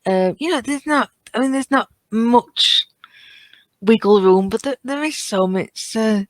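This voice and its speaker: A woman's voice: on the high side, unhurried, no room sound. A woman talking casually as a fan in a podcast discussion. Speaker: podcast guest or co-host.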